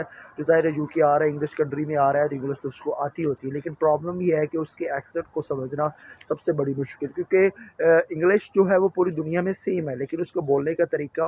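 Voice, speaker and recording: A man's voice: on the high side, darkish tone, a little hiss or hum. A young man from Pakistan with a Pakistani Punjabi accent, giving casual, reassuring advice to viewers in a vlog recorded outdoors on a residential street. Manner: repetitive, reassuring, brotherly address to viewers. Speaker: vlogger.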